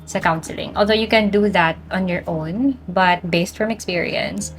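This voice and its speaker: A woman's voice: medium pitch, normal speed, soft background music. A woman with a Filipino accent in casual, reflective talk as a podcast co-host, mixing English with Tagalog. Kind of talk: casual reflective talk about personal experience.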